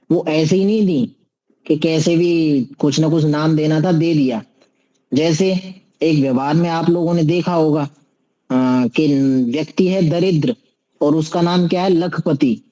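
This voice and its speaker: A man's voice: fairly high pitch, a little slow, warm tone, low-quality recording. A man giving an explanatory religious discourse as a teacher, didactic, posing questions and answering them himself, with recited Sanskrit verses. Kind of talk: explanatory sermon, teaching scripture to listeners.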